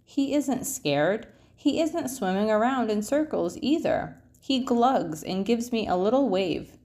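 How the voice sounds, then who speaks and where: A woman reading a children's picture book aloud expressively, with drawn-out phrase endings. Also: fairly high pitch, expressive, mellow.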